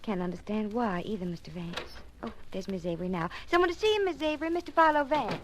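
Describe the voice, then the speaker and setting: A woman's voice: medium pitch, expressive, fast talker, slight background noise, natural voice. A woman playing an artless young country household helper, deferential and hesitant, in an old radio drama. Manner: hesitant, rustic phrasing. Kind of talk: old-time radio detective drama acting.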